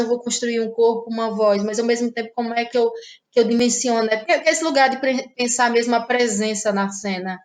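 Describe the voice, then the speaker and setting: A woman from Ceará, Brazil, a playwright and actress, talking through her creative process in a relaxed interview, thinking aloud with frequent restarts. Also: on the high side. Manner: thoughtful, halting, many restarts and fillers. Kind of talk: casual interview conversation.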